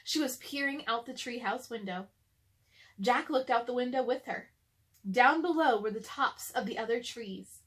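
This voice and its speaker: A woman's voice: on the high side, normal speed. A woman hosting a children's read-aloud, narrating a storybook expressively.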